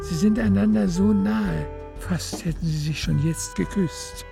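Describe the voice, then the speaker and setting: Creaky-voiced female radio play narrator. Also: on the low side, a little slow, music in the background.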